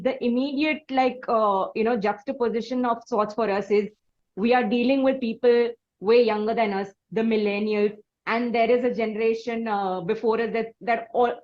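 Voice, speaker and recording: A woman in her thirties with an Indian accent, asking interview questions conversationally, choosing formal words carefully and haltingly. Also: on the high side, a little mellow, lo-fi sound. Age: 30s.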